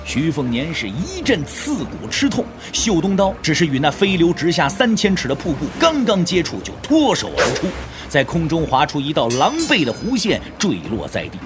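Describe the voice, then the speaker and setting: Man narrating with very animated pitch. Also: moderate pace, music in the background. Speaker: audio drama narrator. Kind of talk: dramatic scripted narration of a martial arts novel.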